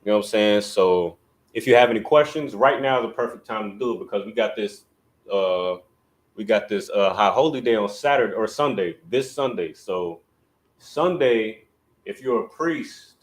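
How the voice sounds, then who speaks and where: A man leading a livestreamed religious language class. He talks casually and addresses viewers directly, often saying "you know what I'm saying" between instructions. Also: mid-range voice.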